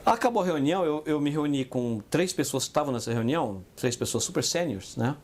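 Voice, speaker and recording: A Brazilian man co-hosting a casual business podcast, telling stories in an animated way and acting out the voices of the people he quotes. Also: fairly high pitch, brisk. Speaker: podcast co-host.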